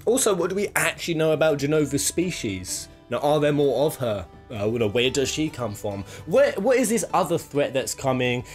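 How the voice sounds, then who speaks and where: Animated British man, chatty countdown host. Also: medium pitch. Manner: jokey, rambling, thinking aloud. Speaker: video game countdown host. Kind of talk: casual, chatty top-ten commentary.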